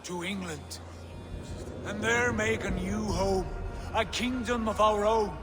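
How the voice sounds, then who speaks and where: A man's voice: sits high, takes it slowly. A man playing a proud, ambitious Viking prince in a game cutscene, speaking in solemn, declamatory lines. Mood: rousing, defiant. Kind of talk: dramatic game cutscene acting. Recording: orchestral music behind the voice.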